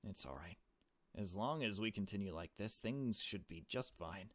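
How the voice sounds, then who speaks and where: Casting: male reader as soft-spoken, lowish-pitched getaway driver, polite and measured, forever placating, visual novel role. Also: expressive, no room sound. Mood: apologetic. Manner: measured, polite, formal, subdued. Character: calm, apologetic, cautious male getaway driver.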